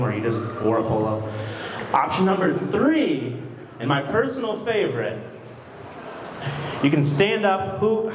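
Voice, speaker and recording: A man's voice: a little high, noisy background. A man in his thirties working as a wedding DJ and emcee, talking in an informal, upbeat way. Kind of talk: casual vlog talk and event announcing. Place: wedding venue and outdoor parking lot.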